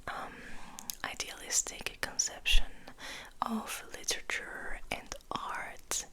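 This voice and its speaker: A young woman whispering softly and gently in an ASMR style, reading and musing in a hesitant way. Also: on the high side. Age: young adult. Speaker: ASMR host, literature student.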